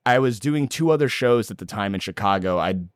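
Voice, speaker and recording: A man's voice: lowish voice, lively intonation, brisk, dry recording, clean studio sound. A man in his late twenties, born in El Salvador, chatting as a guest actor in a casual podcast interview, laughing often and saying "like" a lot. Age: late 20s.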